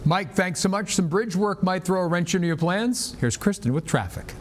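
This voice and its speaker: Guy anchoring local morning news, a bit high voice, loud news music under him. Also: lively intonation, moderate pace. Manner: friendly, bantering with colleagues. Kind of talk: scripted news reading.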